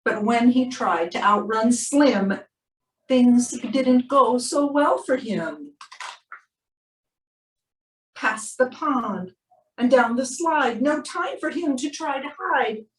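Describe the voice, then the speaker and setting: A woman reading aloud to children in an expressive storybook style, drawing out key words for emphasis. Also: on the high side, slow pace, pauses often. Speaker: animal shelter presenter reading a picture book. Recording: roomy, distant microphone.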